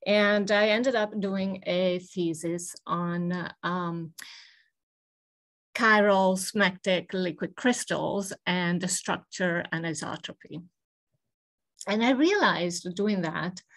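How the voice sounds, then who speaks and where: An older woman from Italy tells her life story from a home office with bookshelves. She speaks very slowly and thoughtfully, pausing often to gather her words, in a somewhat low voice. The sound comes through a webcam on a video call. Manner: measured, thoughtful, many hesitant ums. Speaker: guest speaker. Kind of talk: personal history talk on a video call.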